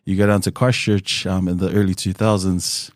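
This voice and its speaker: A man in his thirties with a low voice shares his story in an easy, candid way. He fills his pauses with "um" and "kinda". The sound is close and dry, with no room around it. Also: no echo. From New Zealand. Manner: relaxed and open, with frequent "um" and "kinda". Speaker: guest.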